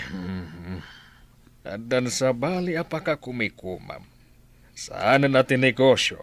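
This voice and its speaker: An actor plays a stern, exasperated father in a Filipino audio drama. His mid-pitched voice swings widely as he grumbles. He has a Filipino accent. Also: very animated, takes it slowly, fairly bright tone, heavily acted. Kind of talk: Ilocano audio drama acting.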